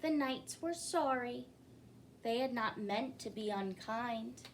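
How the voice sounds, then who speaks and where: Slow-paced woman reading to children, noisy recording. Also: on the high side. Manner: expressive, pausing to ask listeners questions. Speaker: story-time reader. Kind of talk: children's picture book read-aloud.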